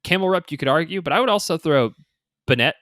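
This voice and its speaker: A man's voice: fairly high pitch, animated, quick pace, fairly bright tone, no room sound, studio quality. A man co-hosting a gaming podcast in casual, conversational chat, often dropping in 'like' and 'you know'. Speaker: podcast co-host.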